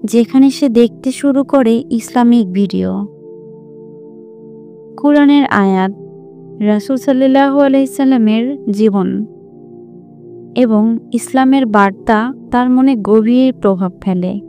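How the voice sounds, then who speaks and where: Slow, high-voiced woman narrating. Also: mellow, faint music behind. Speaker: narrator of religious stories. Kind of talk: scripted inspirational storytelling narration.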